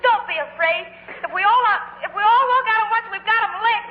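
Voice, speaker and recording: An urgent young rallier in 1940s radio drama. The voice is extremely high and calling out. A crowd and faint noise sit behind a dull vintage sound. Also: female voice, fairly quick. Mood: rallying, urgent. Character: rousing young woman rallying fellow workers.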